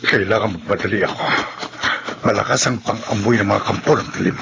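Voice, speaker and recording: A man's voice: lowish voice, steady tone, brisk, vocal fry, heavy background noise. A man with a Filipino accent playing a secretive, irritable man on a grim night mission, urgent and emphatic in a horror radio drama.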